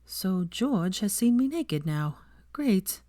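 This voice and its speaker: A guarded, flustered young woman in a storybook reading, voiced by a female narrator. Her delivery is tentative and curt, with wide pitch swings and a close, dry sound. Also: big pitch swings, slow pace, warm tone, no room sound. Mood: horrified, mumbling.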